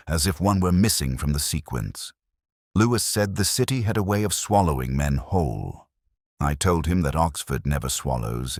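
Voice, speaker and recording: A man acts out a world-weary detective with an extremely low voice and wide, rolling intonation. He narrates a mystery audio drama in measured, literary phrases with a brooding, wry edge. The sound is close and dry. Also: no echo, exaggerated voice. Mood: reflective. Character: brooding, world-weary male Oxford detective recalling an old case.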